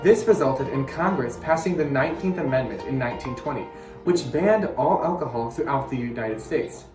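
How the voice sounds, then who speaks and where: A man's voice: mid-range voice, moderate pace. A young man presenting scripted educational narration. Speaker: presenter and student actor on a sound team. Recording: roomy echoey recording with music. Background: plucked guitar background music.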